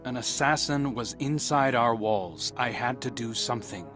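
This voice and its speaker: An actor voicing a watchful, guarded lone scout in a video game, with a wry, understated, conversational delivery. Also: male voice, mid-range voice, moderate pace, music in the background, natural voice. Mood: defensive. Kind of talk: game character mission dialogue.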